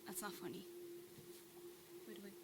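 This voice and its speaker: A woman's voice: medium pitch, steady tone, unhurried, long reverb tail, noisy background, lightly acted. A woman acting in a live horror audio drama, playing someone reading an eerie message onstage in a cellar venue. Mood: upset. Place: cellar performance venue before an audience.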